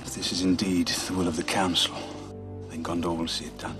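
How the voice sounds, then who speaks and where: A man plays a proud warrior lord who speaks in a low, forceful voice. He challenges others with stern conviction while orchestral music plays beneath him. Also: flat delivery, brisk, close to an everyday voice. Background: orchestral film score.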